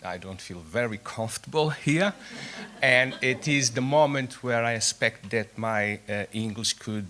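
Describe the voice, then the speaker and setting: A man whose first language is Portuguese, giving an earnest, slightly nervous conference presentation with many halting fillers. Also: medium pitch, bright, forceful tone. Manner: self-deprecating, halting with fillers. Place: conference hall.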